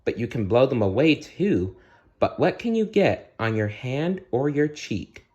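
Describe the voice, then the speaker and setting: A man's voice: mid-range voice, big pitch swings, unhurried, a little mellow, muffled recording, voice pushed up. A man voicing a sweet, thoughtful young princess who muses through puzzles in a storybook. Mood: pondering.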